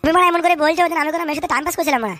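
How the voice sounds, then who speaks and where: A very fast, high voice for a shifty, lovestruck cartoon dog , acted out by a performer. The talk is foul-mouthed slang, blustering and tripping over itself, with music behind it. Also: pushed and bright. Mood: defensive. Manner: blustering, stammers when flustered, colloquial and foul-mouthed. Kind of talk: comic cartoon dub with street slang.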